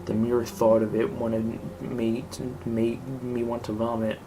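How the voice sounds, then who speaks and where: A man's voice: medium pitch, monotone, unhurried. A man reading a scripted horror story aloud as narrator. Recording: clearly noisy home recording.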